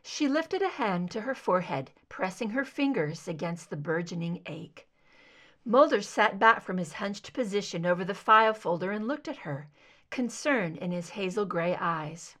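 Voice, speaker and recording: A woman's voice: mid-range voice, lively intonation, normal speed, fairly bright tone. A woman reading scripted fiction aloud as an audiobook narrator. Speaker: amateur audiobook reader.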